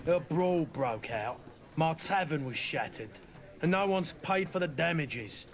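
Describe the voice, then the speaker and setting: Blunt innkeeper, aggrieved, indignant, slightly high voice, game dialogue. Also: male voice, animated, a little slow, a little hiss or hum. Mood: gruffly civil. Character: aggrieved, blunt male tavern keeper wanting repayment. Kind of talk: scripted video game dialogue.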